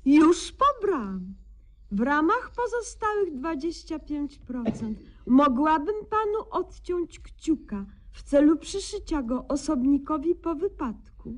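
High-voiced, pompous young lady doctor. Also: sing-song, exaggerated voice. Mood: brisk, smug. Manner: pompous, bureaucratic, deliberate diction. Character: pompous, officious young woman doctor, smugly ideological. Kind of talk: satirical radio sketch acting with narration.

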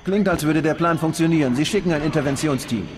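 An actor playing a commanding, impatient resistance squad leader in a video game, speaking tersely. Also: male voice, on the high side, quick pace, background noise, close to an everyday voice. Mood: focused, commanding.

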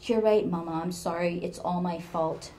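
Woman voicing a guilt-ridden daughter; soft, apologetic, pleading. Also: on the low side, fairly even intonation, normal speed, close to an everyday voice.

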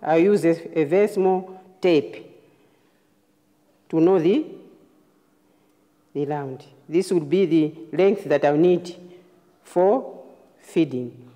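A woman nurse giving a hands-on clinical demonstration, explaining phrase by phrase in a halting way. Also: low voice, dark tone. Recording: reverberant room, long echo.

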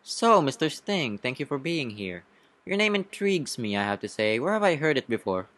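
A man's voice: medium pitch, big pitch swings, moderate pace, a bit bright. A man voicing an earnest, indignant young bee who speechifies and asks pointed questions in a cartoon courtroom story. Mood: sarcastic, indignant.

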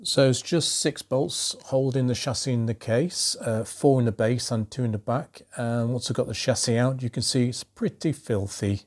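A middle-aged man in a home workshop talks calmly and methodically through a radio repair, with many ums and uhs. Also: medium pitch, normal speed, few pauses. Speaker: electronics restorer.

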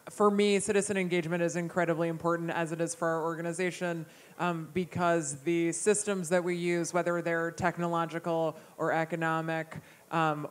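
A woman in her thirties giving an explanatory answer as a panelist, speaking into a handheld microphone on an indoor stage. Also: deep voice. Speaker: panelist, campaign director.

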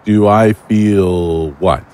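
A man's voice: low-pitched, steady tone, extremely slow, a little mellow. A man acting in an audio drama as an exhausted, angry, foul-mouthed man who is fed up with monsters. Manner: weary, exasperated, cursing.

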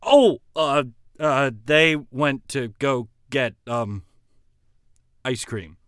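A man's voice: medium pitch, very slow, a bit bright, clear, resonant tone, no room sound, clean studio sound. A man acting an easily flustered, put-upon young teacher in an amateur anime-style drama. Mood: awkward.

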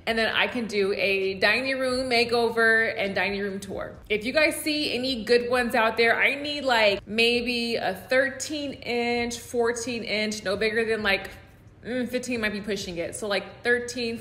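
A woman's voice: a little high, a little slow, bright, forceful tone. A woman in her thirties with an American accent, chatting casually and informally to camera as a home decor vlogger. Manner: conversational, informal, frequent "like" and "you guys". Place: room at home, indoors. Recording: roomy indoor echo.

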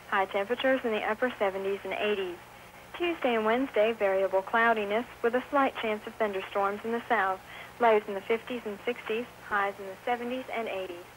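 Female weather radio announcer, slightly high register, scripted forecast, clearly noisy 1990s broadcast tape. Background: clear radio noise.

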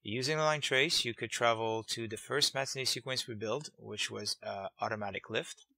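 A man's voice: mid-range voice, moderate pace, gravelly, pushed and bright, no echo. A man hosting a game development tutorial series, narrating casually in a voice-over. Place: close, dry voice-over over screen capture.

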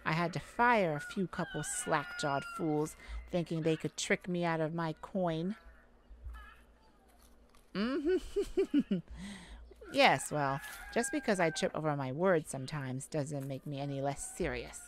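A woman voicing a shy, gentle, easily flustered elf farmer in an ASMR roleplay, halting and polite with nervous little laughs. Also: low-pitched, expressive. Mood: relaxed, shy. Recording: clear background noise, farm chicken ambience. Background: farm ambience with chickens and rooster.

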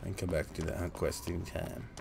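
A man's voice: sits low, level tone, normal speed, gravelly. A man giving casual gameplay commentary in an offhand, muttering way. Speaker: gaming streamer. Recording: constant game noise behind. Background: fantasy video game sounds.

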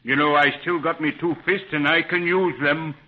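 An actor playing a loyal, scrappy, folksy adventurer in a 1930s radio adventure serial. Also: male voice, fairly high pitch, fairly even intonation, normal speed, close to an everyday voice. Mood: determined. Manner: folksy, plain-spoken. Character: Pat, a loyal, scrappy grown man, adventurer ally. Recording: muffled, phone-like 1930s radio recording.

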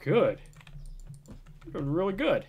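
Young male streamer, casual play-by-play, animated pitch, desk mic. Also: slow, slightly rough, highs cut off. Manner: chatty, talks to himself while playing, excited bursts. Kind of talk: casual let's-play commentary.

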